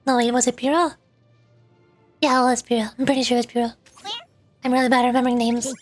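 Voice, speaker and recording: A woman with a notably high voice talks casually on a game livestream. Her manner is playful and exclamatory, and soft music plays behind her. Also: flat delivery, fast. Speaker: VTuber streamer, host. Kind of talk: casual livestream chat while gaming. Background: background music.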